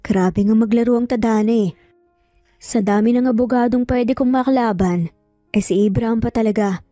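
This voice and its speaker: A woman's voice: a little high, normal speed, dark tone, no echo, clean studio sound, soft background music, lightly acted. A woman voicing an exasperated, proud Filipino woman lawyer and mother in a story, sarcastic and reproachful.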